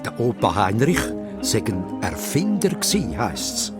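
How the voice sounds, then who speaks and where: A man with a Swiss German accent narrates a children's radio play. His delivery is animated and varies strongly in pitch. Music plays behind his storytelling. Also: takes it slowly.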